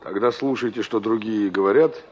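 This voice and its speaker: An actor playing a reflective, nostalgic young engineer in a Soviet radio drama, probing and expressive in his delivery. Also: lowish voice, normal speed, natural voice. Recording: muffled, noisy old radio drama recording.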